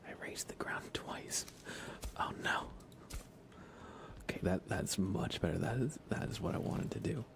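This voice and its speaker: A soft-spoken man doing casual ASMR game commentary on a live stream, often slipping into whispers. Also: low-pitched, very animated, measured pace, long pauses. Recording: clear background noise.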